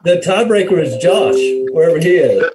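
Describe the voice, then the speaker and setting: A man's voice: fairly high pitch, expressive, normal speed, loud music behind the voice. A man serving as a board member, making casual short interjections at a public meeting, heard through a distant microphone. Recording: distant mic with loud noise and clicks.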